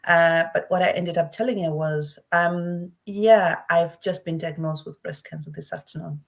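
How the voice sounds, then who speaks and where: A woman from Zimbabwe, middle-aged, tells her story in a slightly low voice. She talks earnestly and often restarts her phrases, the way people do when sharing something personal. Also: fairly even intonation, moderate pace, vocal fry. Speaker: guest speaker. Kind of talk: personal testimony.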